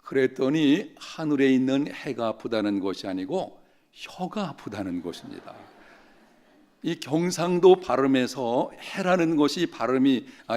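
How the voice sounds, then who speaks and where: A middle-aged male pastor preaching in a church, with a voice of ordinary pitch for a man. He tells stories in an easy way and slows down on the phrases he wants to stress. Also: a little mellow. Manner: storytelling, slowing down to stress key phrases. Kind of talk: sermon. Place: church sanctuary.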